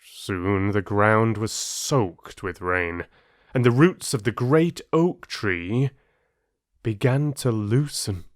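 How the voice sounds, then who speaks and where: A man working as an audiobook narrator, reading stories and addressing listeners with measured, deliberate phrasing. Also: on the low side, animated. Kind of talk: expressive storybook reading and listener chat.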